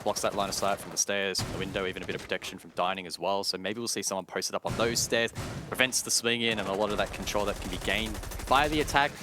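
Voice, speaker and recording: A man commentating on a competitive shooter match, explaining tactics in a measured way. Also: on the low side, background noise. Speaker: esports commentator. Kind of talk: live analysis and commentary of a competitive shooter game. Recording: music and gunfire behind the voice.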